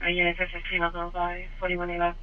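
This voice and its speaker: A man's voice: sits high, monotone, fast, phone-quality sound, a little hiss or hum. A man working as a police dispatcher, speaking in clipped, procedural phrases over a scanner radio.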